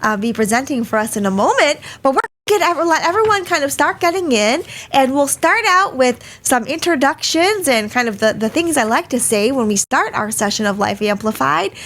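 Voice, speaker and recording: A woman hosting a live webinar, a little hoarse from a cold, who talks in a chatty way and greets many attendees by name. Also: sits high, animated, brisk, fairly bright tone. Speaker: webinar host. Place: small studio. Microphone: studio mic.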